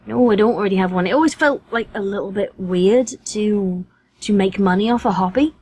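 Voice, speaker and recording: A woman's voice: mid-range voice, fairly quick, a little mellow, breathy edge. British woman in casual podcast chat. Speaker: podcast guest, voice actress and fan fiction writer.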